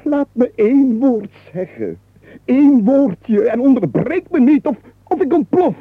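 An actor with a Flemish accent playing an exasperated rich nobleman, husky and melodramatic, in a radio comedy. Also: very high-pitched, very animated, a little slow, dry recording, full character voice. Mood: exasperated, frantic. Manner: melodramatic, explosive outbursts dropping to confiding. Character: exasperated rich nobleman, driven frantic by chatter. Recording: muffled, phone-like old radio recording.

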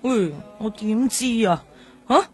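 A woman's voice: mid-range voice, expressive, slow pace, slightly rough, faint music behind. An actress playing a cheeky, chatty streetwise woman in a radio drama, brash and familiar. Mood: cheeky, casual.

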